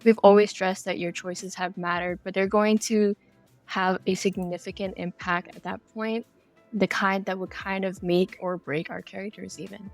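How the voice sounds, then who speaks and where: A woman's voice: mid-range voice, flat delivery, moderate pace, soft background music. Woman chatting in a podcast interview. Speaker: interview guest, game developer. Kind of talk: podcast interview, casual explanation.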